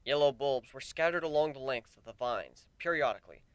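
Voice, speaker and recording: A man's voice: medium pitch, animated, brisk, clear, resonant tone, no echo. A man reading a piece of fan fiction as a dramatic, scripted story narration.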